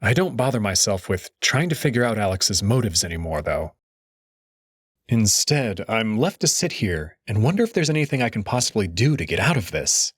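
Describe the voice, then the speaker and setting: A man's voice: lowish voice, big pitch swings, normal speed, husky, dry recording, clean studio sound. A man narrating a visual novel in the first person, reading it expressively and dramatically.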